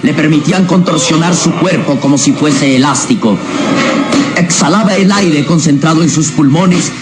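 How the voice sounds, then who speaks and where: A man's voice: fairly high pitch, normal speed. A man narrating a scripted radio serial in a theatrical, suspenseful way. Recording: radio serial with music behind.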